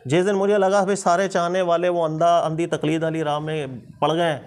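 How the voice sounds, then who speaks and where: A man's voice: a little high, little pitch movement, fairly quick. A man with a Pakistani accent, a religious teacher explaining points emphatically in informal discussion, often repeating himself for stress. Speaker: Islamic scholar and teacher.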